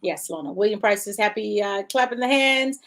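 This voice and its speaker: A woman's voice: mid-range voice, brisk, a bit bright. A woman hosting a casual live-stream chat, bubbly, laughing often and reading viewer comments aloud. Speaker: show host.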